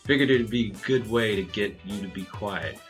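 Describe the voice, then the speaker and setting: A man's voice: lowish voice, normal speed, close to an everyday voice. A man playing a terse, wisecracking loner detective at a bar in a hardboiled comedy audio drama. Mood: wry, weary. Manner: deadpan, terse. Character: jaded, wisecracking male loner detective drinking at speakeasy. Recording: music bed under the voice.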